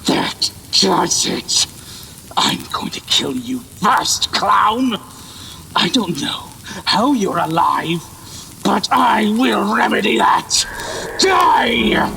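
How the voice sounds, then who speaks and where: Wrathful serpentine villain: gravelly creak, drawn-out theatrical menace, fantasy audio drama, scornful temper. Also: male voice, high-pitched, very animated, pushed and bright, slight background noise, heavily acted, poor audio. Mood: furious.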